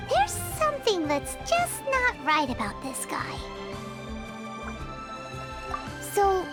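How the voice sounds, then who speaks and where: A very high, squeaky little fairy girl who chatters brightly about herself in the third person, her tone bouncing all over the place. She is a bubbly game sidekick, heard over loud music. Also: exaggerated voice. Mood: curious. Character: tiny chirpy floating fairy companion girl. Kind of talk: video game voice acting.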